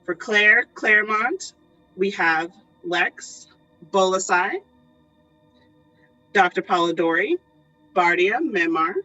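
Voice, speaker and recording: A woman's voice: mid-range voice, expressive, takes it slowly, long pauses, sharp tone. A woman hosting, reading out a cast list as a curtain-call announcement. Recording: dull audio, faint music.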